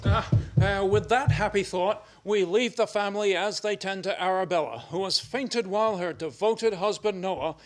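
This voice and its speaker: A man's voice: sits high, normal speed, hardly any pauses, slight background noise. A man narrating a comic radio play from a script, as the storyteller between scenes.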